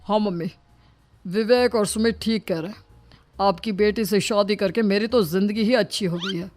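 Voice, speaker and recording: A woman's voice: mid-range voice, moderate pace, darkish tone, close to an everyday voice. A woman voicing a lazy, blunt young son-in-law in a cartoon story, with a mocking tone. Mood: agreeable. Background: faint background music.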